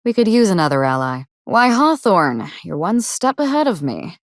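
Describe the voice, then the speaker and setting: A woman playing a proud, wry, grieving actress turned quietly ruthless avenger in a video game, speaking in a dry, measured, wistful way with formal phrasing. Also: fairly low pitch, very animated, dry recording. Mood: dry, confident. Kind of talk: video game dialogue acting.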